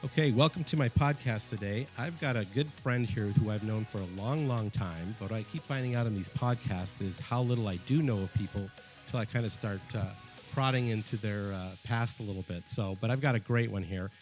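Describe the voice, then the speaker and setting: A man's voice: lowish voice, fairly quick, darkish tone, faint music behind. A man hosting a podcast interview, upbeat and chatty, speaking into a studio microphone. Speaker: podcast host. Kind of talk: casual interview chat. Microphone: studio microphone with headphones.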